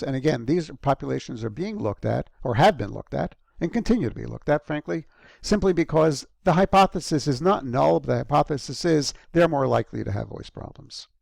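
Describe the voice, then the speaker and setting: A man's voice: mid-range voice, gravelly, a little mellow, no echo, studio quality. A man giving a recorded university lecture in a conversational way, with frequent ums and restarts.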